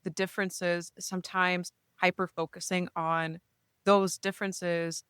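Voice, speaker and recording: A woman's voice: fairly low pitch, flat delivery, a little slow, a bit bright, smooth, no room sound, studio quality. A woman, a therapist speaking reflectively in a casual podcast chat, often checking in with "right?". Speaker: therapist, guest.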